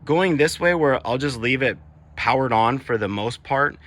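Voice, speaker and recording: A man's voice: medium pitch, animated, moderate pace, fairly bright tone. A man talking casually as a vlog host, explaining gear in an informal way. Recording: clearly noisy background ambience.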